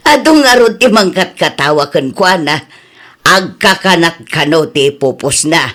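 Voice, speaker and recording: Slow-talking Ilocano woman in-law. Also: on the low side, expressive, fairly bright tone, heavily acted. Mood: amused, gossipy. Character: gossipy, teasing woman in-law, good-hearted underneath. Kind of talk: radio drama acting.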